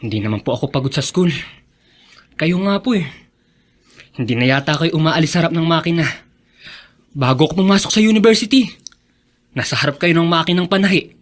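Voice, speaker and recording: A man acting a caring, earnest young college son who is quietly hurt, in a Filipino radio drama with a Filipino accent. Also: a little high, expressive, fairly quick, pauses often, lightly acted. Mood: concerned, dutiful. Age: young adult.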